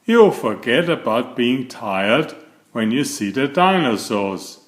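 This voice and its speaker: A man voicing a kindly adult owl schoolteacher in a storybook, reassuring and gently brisk. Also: medium pitch, very animated. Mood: encouraging.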